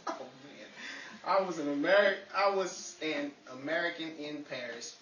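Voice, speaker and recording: A woman's voice: sits low, moderate pace, fairly bright tone. A woman performer casually chatting and fumbling lines between takes. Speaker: performer in a radio-style show. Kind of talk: casual chat and fumbled line reading. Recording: roomy, distant, noisy background.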